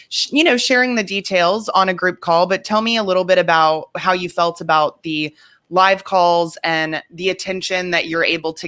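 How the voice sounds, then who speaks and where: Bright-voiced woman hosting an interview. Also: on the low side. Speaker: host interviewing a client; business coach. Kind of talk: conversational interview.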